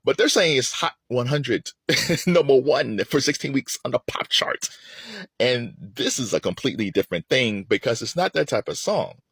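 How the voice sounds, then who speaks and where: A man's voice: mid-range voice, lively intonation, normal speed, fairly bright tone, breathy edge, dry recording. A middle-aged man giving casual, opinionated music commentary in a conversational way, repeating phrases for emphasis.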